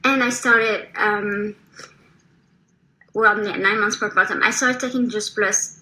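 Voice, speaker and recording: A woman in her thirties speaks with a French accent and a bright, forceful tone. She talks frankly and earnestly, pausing on fillers and restarting her sentences as she tells her own story. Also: medium pitch, poor audio. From France. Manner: earnest, frank, with frequent ums and small restarts. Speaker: testimonial speaker. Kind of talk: personal testimonial.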